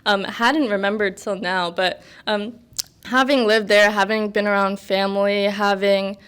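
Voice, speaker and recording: A young American woman in her twenties, guest on a podcast. She chats casually, rambling with lots of fillers and little laughs, in a smooth, clear voice of middling pitch. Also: fairly even intonation, unhurried, a bit bright. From United States. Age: 20s. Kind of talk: casual interview chat.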